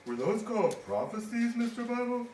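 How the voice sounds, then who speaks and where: A man's voice: very high voice, big pitch swings, normal speed, a little mellow. A man voicing a goofy, forgetful puppet space crewman with a folksy, dutiful manner in a children's church show. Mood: enthused. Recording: echoey room, distant mic, faint noise.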